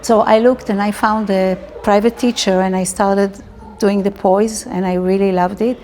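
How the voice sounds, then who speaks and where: A woman's voice: medium pitch, steady tone, moderate pace, darkish tone, slight background noise. A middle-aged woman from Israel with an Israeli accent, telling personal stories casually as an interviewee.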